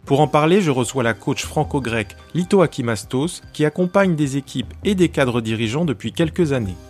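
A man with a mid-range voice hosts a coaching podcast, faint music under him. He reads a scripted intro and interviews his guest in a clear, polished presenter's manner. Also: mid-range voice, lively intonation, a little fast, a little mellow.

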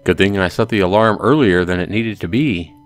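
A man's voice: low voice, a little fast. A man hosting a let's play, casually commenting and reading a visual novel aloud. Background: music.

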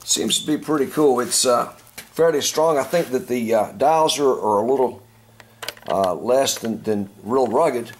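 A man hosting a casual hands-on product review, talking in a folksy, informal, halting way. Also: mid-range voice. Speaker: host of a product review video channel. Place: at a tabletop, later out in a backyard. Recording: clear background noise, home camera recording.

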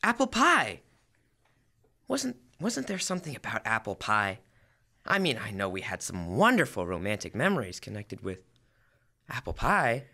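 A man's voice: mid-range voice, wildly swooping pitch, moderate pace, pauses often, bright, forceful tone, heavily acted. A teenage boy playing a bumbling, nostalgic sitcom husband with flustered enthusiasm in old-time radio comedy style. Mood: eager, bluffing. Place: school library room, stage microphone.

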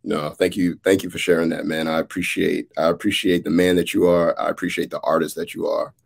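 A man in his thirties talking in a halting, deliberate and sincere way, in a casual conversation over a video call. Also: sits low, flat delivery, creaky. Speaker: playwright, interviewer. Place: indoor room with dark backdrop, video call. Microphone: video call, seated back from camera.

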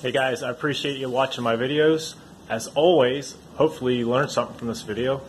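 A man's voice: medium pitch, steady tone, brisk, slight background noise. A man hosting a do-it-yourself channel, walking through a repair step by step in a plainspoken, practical way. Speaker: DIY repair channel host.

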